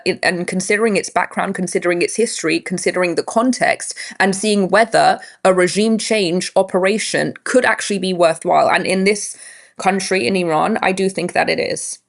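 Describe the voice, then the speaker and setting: A woman from London speaks in her thirties with a London accent, earnest and measured as an interview guest. Her voice sits at a middle pitch and she restarts her sentences from time to time. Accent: London English. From England. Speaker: guest activist. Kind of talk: interview conversation.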